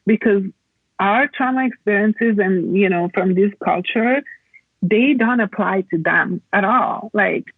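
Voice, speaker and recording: A woman from Italy, explaining reflectively as a podcast guest in a halting, conversational way over a remote call. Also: medium pitch, dry recording, narrow, tinny audio. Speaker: podcast guest, plant medicine practitioner.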